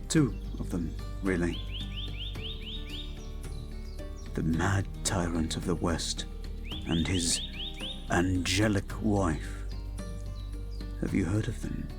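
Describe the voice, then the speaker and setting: A man playing a devoted, protective young prince in an intimate audio roleplay, speaking gently and somewhat formally to his betrothed. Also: deep voice, animated, over music. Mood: hesitant, informative. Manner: gentle, soothing, measured, slightly formal phrasing.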